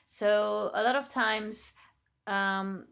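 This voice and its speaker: A young woman whose first language is Hungarian explains things at an unhurried, slow pace. She talks in a thoughtful way, full of ums and small self-corrections. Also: mid-range voice, steady tone, creaky, a bit bright. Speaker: chocolate maker. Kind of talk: informal explainer video.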